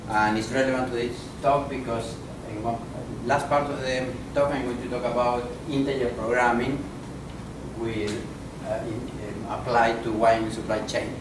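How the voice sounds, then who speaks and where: Man, academic lecturer, deliberate and halting; distant, echoey, noisy room recording. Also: medium pitch, little pitch movement. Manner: deliberate, halting, many fillers. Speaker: visiting professor and speaker. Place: conference room.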